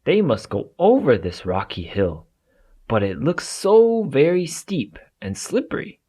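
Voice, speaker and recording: A man narrating a children's storybook aloud in an expressive way for young listeners. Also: medium pitch, measured pace, slightly rough.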